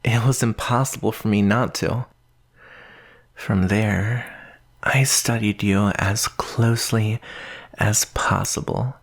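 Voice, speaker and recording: A man playing an obsessive, lovestruck stalker in an intimate ASMR roleplay, with halting, murmured delivery. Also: lowish voice, fairly even intonation, full character voice. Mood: infatuated, possessive. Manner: slow, halting, breathy murmurs, nervous laughs. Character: obsessive, lovestruck young male stalker, softly menacing captor.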